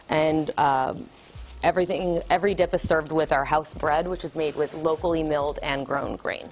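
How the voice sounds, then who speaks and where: An Armenian-born woman chef calmly describing her dishes during a live TV cooking segment in a studio kitchen. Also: low voice, a little hiss or hum. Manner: calm, matter-of-fact describing food. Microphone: lapel mic.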